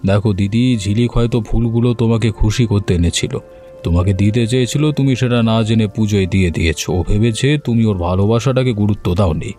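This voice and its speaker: A man voicing a helpful, earnest village man in an animated story, careful and reassuring in his manner. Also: lowish voice, fast, soft background music, lightly acted. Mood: gently advising.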